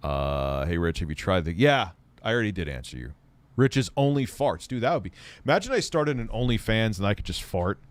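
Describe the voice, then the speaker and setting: A man's voice: lowish voice, big pitch swings, a little fast, dry recording. A man hosting a casual tech livestream, reading viewer comments in a rambling, profane way and laughing often.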